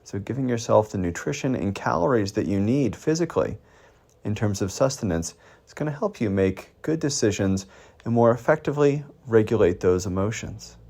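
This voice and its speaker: A man leading a guided meditation as a mindfulness teacher, gently prompting with questions and pauses. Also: lowish voice, lively intonation, vocal fry.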